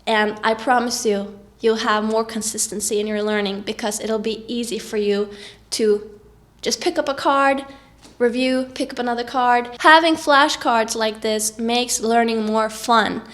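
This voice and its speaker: A woman's voice: on the high side, fairly even intonation, unhurried, a bit bright. A woman with a cold, giving encouraging tips to camera in a casual vlog. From Norway. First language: Norwegian. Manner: encouraging, upbeat. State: has a cold. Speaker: vlogger.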